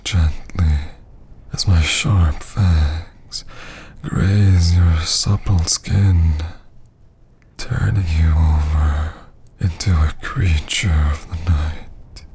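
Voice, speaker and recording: A haughty vampire lord of an old castle, speaks in a dark, low voice. The delivery is extremely slow and theatrical, breathy and often sinking into a whisper. It is an intimate ASMR roleplay full of archaic menace. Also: male voice, fairly even intonation, slight background noise, full character voice. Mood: intrigued, brooding.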